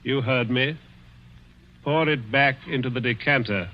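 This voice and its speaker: A man's voice: mid-range voice, unhurried, close to an everyday voice. An actor playing a cruel, dying rich man who taunts with sly chuckles in a 1940s radio drama. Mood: menacing. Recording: dull old radio broadcast.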